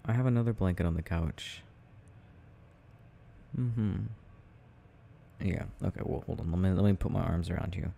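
A low, mellow, creaky male voice acted by a man as a tender boyfriend soothing his partner at night. He murmurs slowly and affectionately, rambling in soft ASMR roleplay style with gentle teasing. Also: slight background noise. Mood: cozy, affectionate.

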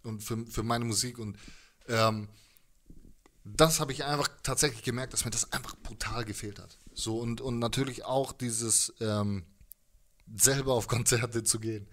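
A man in his thirties talks in a loose, slangy interview style. His pitch sits in a middle range. He rambles and jokes along casually, speaking into a handheld mic indoors. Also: steady tone, normal speed, a bit bright. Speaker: musician, interview guest. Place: living room music space, indoors. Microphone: handheld microphone.

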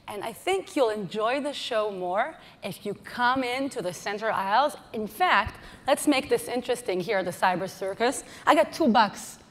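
A woman's voice: mid-range voice, fairly bright tone. Israeli woman giving a playful stage talk. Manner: playful, teasing the audience with flight-attendant jokes. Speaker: conference speaker. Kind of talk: lively keynote presentation. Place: large conference hall stage. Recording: hall reverb.

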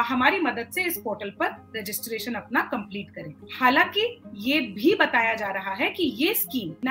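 Young indian lady explaining stuff on a video call, highish voice, faint music under it. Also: fairly bright tone, low-quality recording. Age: young adult. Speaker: solar company representative, expert guest. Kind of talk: informative explanation with Hindi-English mix. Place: indoor furnished room.

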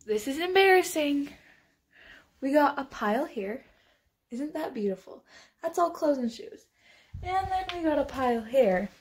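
A woman's voice: sits high, lively intonation, measured pace, pauses often. A young woman filming a chatty clean-with-me vlog in her bedroom on a phone camera, bubbly and a little out of breath. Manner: bubbly, chatty, says "like" often. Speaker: vlogger. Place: small bedroom, indoors. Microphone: phone camera moved around the room.

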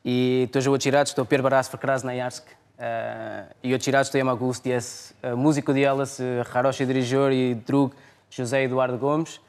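Brief: thirties Portuguese man, mid pitch, halting second-language interview speech, cheerful. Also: level tone, smooth. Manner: halting, broken grammar, cheerful. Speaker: guest musician. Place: television studio, indoors.

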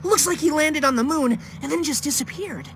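Geeky little kid, super high voice, earnest, game cutscene, noise behind. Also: a little fast, fairly bright tone. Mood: eager. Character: nerdy, eager young boy fanboy. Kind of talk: animated video game cutscene acting.

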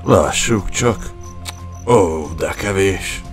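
A man's voice: low voice, soft background music. A man voicing an arrogant, greedy alien warrior commander in a cartoon fairy tale, cold, menacing and sneering. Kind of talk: animated fairy tale dub.

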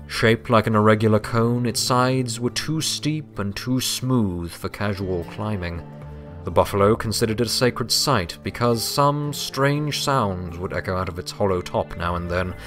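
A man's voice: lowish voice, normal speed, a bit bright, faint music behind. A man reading scripted story narration as the narrator.

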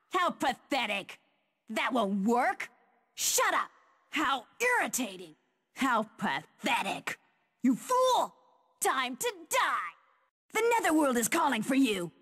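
A woman's voice: on the high side, a bit bright, long reverb tail, full character voice. An actress playing a fierce female fighter who taunts opponents in haughty, theatrical battle lines for a video game. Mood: menacing, scornful. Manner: haughty, theatrical, clipped declarations.

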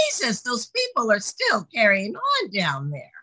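A woman's voice: fairly high pitch, wildly swooping pitch, slow pace, pushed and bright, heavily acted, low-quality recording. A woman playing a chatty, sardonic secretary with folksy endearments in an online play reading. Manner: folksy, sardonic, calls people hon and sweetie. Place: indoors on a video call. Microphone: video call microphone.

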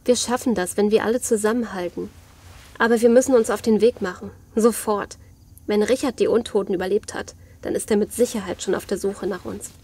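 An actress playing a determined survivor of a zombie apocalypse in a German audio drama. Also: female voice, medium pitch, steady tone, brisk, dark tone, slightly rough.

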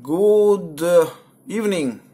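A man from Moscow with a Russian accent, speaking haltingly as an amateur radio operator and spelling out call signs in the phonetic alphabet. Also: high-pitched, animated, mellow, slightly rough. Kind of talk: ham radio contact chat, on-air call signs. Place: indoors at a computer.

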